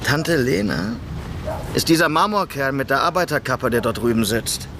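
A streetwise, suspicious underworld man in a radio play. The voice is creaky and medium in pitch. He talks in a brusque, impatient, sardonic way. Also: animated, normal speed, noisy background. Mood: mocking. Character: curt, suspicious, streetwise male shady underworld contact. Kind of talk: radio drama dialogue.

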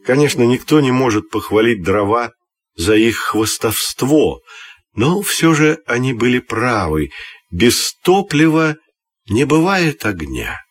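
A man narrating a scripted fairy tale for children's radio, telling it expressively and deliberately. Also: mid-range voice, very animated, breathy edge. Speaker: storyteller.

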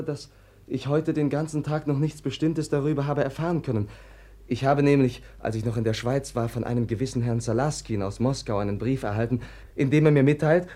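An actor in a 1950s German radio drama playing a gentle, naive, devoted young prince, speaking with hesitant, impulsive sincerity. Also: male voice, medium pitch, close to an everyday voice.

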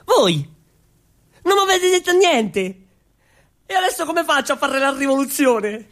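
Man playing Porky Po, a high-pitched excitable clownish revolutionary. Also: unhurried, exaggerated voice. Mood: dismayed, pleading. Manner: exclamatory, repetitive, pleading with the listeners. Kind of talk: theatrical comic storytelling for radio. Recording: music under the voice.

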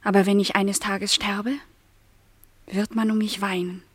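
An actress playing a lonely twenty-year-old housemaid in a radio play, wistful and stubborn, speaking earnestly and insistently. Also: female voice, medium pitch, flat delivery, unhurried, fairly bright tone, no echo. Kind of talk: literary radio play dialogue.

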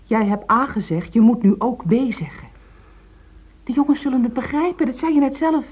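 An actress playing a pragmatic, pushy middle-aged wife, insistent and reproachful, in a radio play. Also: female voice, on the high side, expressive, moderate pace, breathy edge. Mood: persuasive, goading. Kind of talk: Dutch radio play acting. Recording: thin, phone-like old radio play recording.

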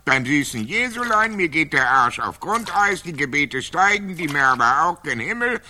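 A man playing a gruff, earthy old crusader knight in a radio play, blunt and colloquial. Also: on the high side, sing-song, normal speed, pushed and bright, a little hiss or hum, full character voice. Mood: blunt, impatient. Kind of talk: German radio play acting.

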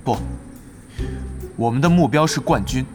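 An actor playing an earnest young esports team captain, polite, hesitant and deferential, in a radio drama. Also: male voice, mid-range voice, a little slow, close to an everyday voice. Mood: earnest, worried. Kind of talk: Chinese radio drama dialogue. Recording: music behind the voice.

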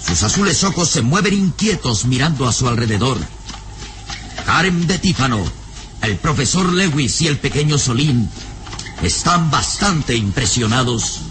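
A man's voice: medium pitch, animated, a little slow, background noise. A man narrating a radio serial in a dramatic, scripted storytelling style. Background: music.

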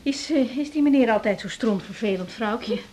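An actress playing a young woman doctor in a radio drama, earnest and a little hesitant, with a slightly husky voice. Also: a little high, expressive, moderate pace, darkish tone, a little hiss or hum, natural voice. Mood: shaken, relieved. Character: young female doctor, earnest, unsure but quietly assertive. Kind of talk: naturalistic Dutch radio drama dialogue.

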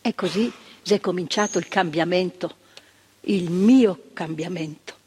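A woman's voice: fairly low pitch, unhurried, darkish tone, slightly rough. An older actress playing a woman psychiatric worker who talks in a reflective, searching way, repeating words for weight. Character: earnest woman psychiatric worker recalling the past. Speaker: actress in a stage monologue. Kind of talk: theatrical monologue. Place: theatre stage. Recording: stage performance with long hall reverb.

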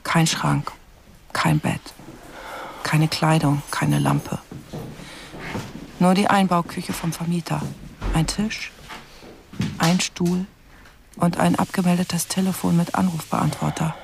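A man playing a quietly observant investigator who narrates his case in terse, pensive fragments in a radio crime drama. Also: on the high side, fairly even intonation, takes it slowly, vocal fry, pauses often, noisy background.

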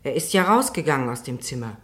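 Anxious female witness, very low voice, hesitant and deferential, radio courtroom drama. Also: big pitch swings, fast, a bit bright, heavily acted. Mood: uneasy recounting. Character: timid, anxious woman witness testifying in court.

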